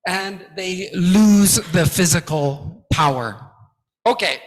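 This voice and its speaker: Male guest lecturer, high-pitched and unhurried, emphatic and encouraging, echoing hall. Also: lively intonation. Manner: emphatic, encouraging, counts aloud in drills. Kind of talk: interactive presentation-skills lecture. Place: large lecture hall with about two hundred people. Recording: echoey hall reverb.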